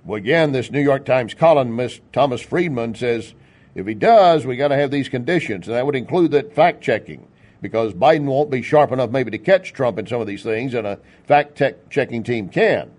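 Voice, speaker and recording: A man's voice: medium pitch, expressive, normal speed, darkish tone. An American man from Texas hosting a political radio show in a conversational, folksy way, with joking asides and rhetorical questions. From Texas, United States. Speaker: talk radio host.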